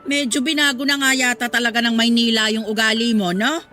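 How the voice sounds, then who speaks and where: An actress playing a judgmental older village busybody in a radio drama, prying with false concern. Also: female voice, on the high side, little pitch movement, a little fast, pushed and bright. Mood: scolding. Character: nosy, judgmental older village gossip woman. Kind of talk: Tagalog radio drama acting. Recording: background music under dialogue.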